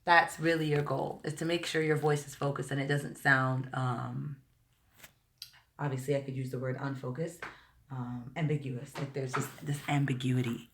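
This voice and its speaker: A woman vocal coach with a slightly hoarse, tired voice, chatting directly to viewers during a live-stream lesson and answering their questions. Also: a bass voice, flat delivery, moderate pace, a little hiss or hum. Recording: roomy echo.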